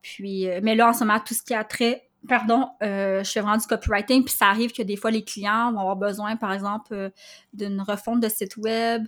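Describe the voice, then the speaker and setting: A woman with a Québécois French accent, chatting as a podcast guest, animated and full of fillers. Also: mid-range voice, a little fast. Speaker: guest copywriter. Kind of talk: casual podcast conversation.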